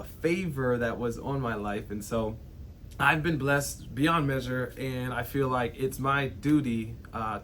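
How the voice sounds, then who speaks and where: A man's voice: mid-range voice, fairly bright tone, a little hiss or hum. A young man hosting a show, giving an earnest, heartfelt monologue full of "you know" and "right". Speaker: host.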